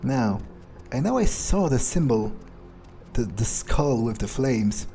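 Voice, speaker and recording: Slow-talking man musing in character. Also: medium pitch, big pitch swings, gravelly, warm tone, a little hiss or hum. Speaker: let's player role-playing a vampire character. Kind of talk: in-character gameplay commentary, thinking aloud.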